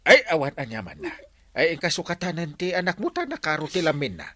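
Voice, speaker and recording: A man with an Ilocano accent acting as an earnest, excitable village captain in a comedy drama, speaking in animated exclamations. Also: fairly high pitch, quick pace.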